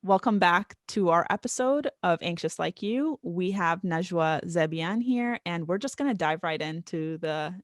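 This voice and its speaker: Therapist-host, woman, lower pitch, dry studio sound. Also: expressive, unhurried, hardly any pauses, dry recording, faint music behind. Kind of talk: podcast interview.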